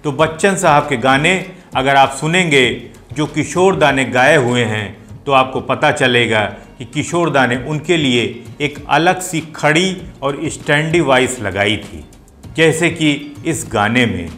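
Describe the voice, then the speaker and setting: A man's voice: medium pitch, expressive, measured pace, a bit bright. Enthusiastic man hosting a music talk. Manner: enthusiastic, admiring, rhetorical asides. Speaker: host explaining playback singing. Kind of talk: explanatory talk to viewers.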